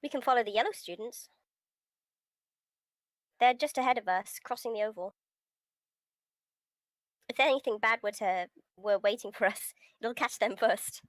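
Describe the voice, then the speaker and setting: A woman's voice: a little high, moderate pace, pauses often, dry recording. A woman casually reading a visual novel script aloud among friends. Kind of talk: casual chat and amateur read-aloud of game script.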